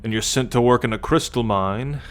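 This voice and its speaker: A man playing a smug, teasing, haughty alien soldier and scout in an ASMR roleplay, talking straight to the listener with deliberate condescension. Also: medium pitch, a bit bright, a little hiss or hum, lightly acted. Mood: cold, threatening. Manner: slow, deliberate, smugly condescending.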